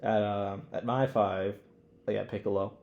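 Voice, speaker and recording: A man's voice: fairly low pitch, fairly even intonation, normal speed, creaky, darkish tone, muffled recording. A man co-hosting a podcast in casual, slangy, sweary talk, often restarting and leaning on filler words. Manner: informal, hesitant phrasing peppered with "like". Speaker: podcast co-host. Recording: roomy, echoey sound.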